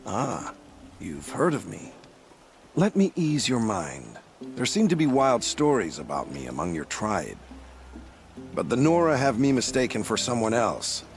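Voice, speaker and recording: An actor playing a smooth, self-assured military prefect in a video game, speaking with polished, formal politeness and feigning innocence. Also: male voice, mid-range voice. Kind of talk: video game dialogue acting.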